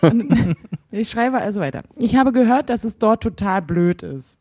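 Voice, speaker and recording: A woman's voice: medium pitch, lively intonation, normal speed, dark tone, breathy edge, no echo, studio quality. A woman co-hosting a casual chat show. She reads from her old diary, hesitating with many fillers and laughing often. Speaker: podcast co-host.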